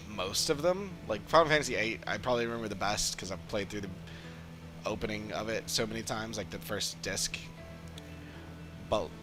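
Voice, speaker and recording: Man, low voice, casual livestream chat, rambling halting delivery, clear game music behind. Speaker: game streamer. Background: video game music.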